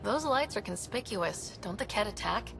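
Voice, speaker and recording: A woman's voice: mid-range voice, lively intonation, moderate pace, gravelly. A woman playing a friendly, wry young human explorer in a video game, talking casually. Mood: inquisitive. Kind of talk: dramatic game dialogue. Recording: echoey hall, faint music behind.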